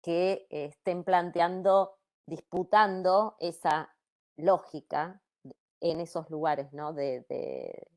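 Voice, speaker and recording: Low-voiced, hesitant woman commenter. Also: fairly even intonation, takes it slowly. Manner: hesitant, soft-spoken, many fillers. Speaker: audience commenter. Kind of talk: academic discussion comment.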